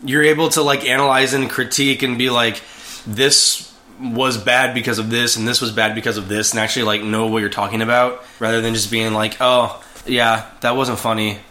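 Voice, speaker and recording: A man's voice: medium pitch, little pitch movement, fairly quick, pushed and bright. A man co-hosting a casual movie chat, rambling with lots of fillers and restarts. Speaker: podcast co-host.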